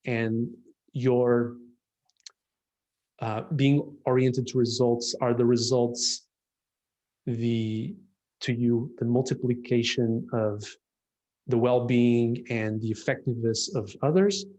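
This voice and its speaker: A man's voice: mid-range voice, fairly even intonation, slow, long pauses, darkish tone, poor audio. A man from Portugal with a Portuguese accent, a designer talking reflectively in a casual conversation with a colleague, often restarting his sentences.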